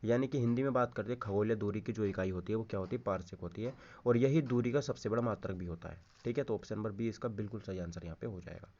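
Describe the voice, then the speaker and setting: The speaker is a man with a fairly low-pitched voice. He teaches in an informal exam-coaching style, repeating answers and often checking that listeners follow. He switches between Hindi and English terms, and the recording sounds dull and lo-fi. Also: fast, hardly any pauses, warm tone. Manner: repeats key answers, frequent check-in tags, addresses listeners directly. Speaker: exam-prep physics teacher. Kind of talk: informal lesson, going through quiz questions.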